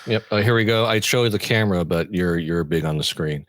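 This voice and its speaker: A man's voice: sits low, brisk, a bit bright, no room sound. A man co-hosting a podcast in casual chat, often jumping in with joking remarks. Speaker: podcast co-host.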